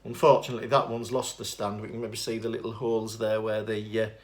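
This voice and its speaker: A man's voice: fairly low pitch, quick pace. A man giving an informal, unscripted equipment demonstration, explaining things in a matter-of-fact, slightly rambling and hesitant way. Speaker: presenter demonstrating vintage tape recorders. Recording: clear steady background noise.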